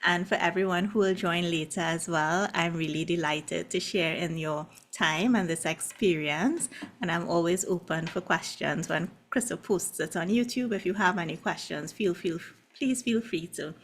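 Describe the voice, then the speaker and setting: A woman's voice: on the low side, moderate pace. A woman in her forties with a Trinidadian accent, a love coach telling her story in an earnest, open way on a video call. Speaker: guest love coach and hypnotherapist. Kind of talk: casual video-call presentation.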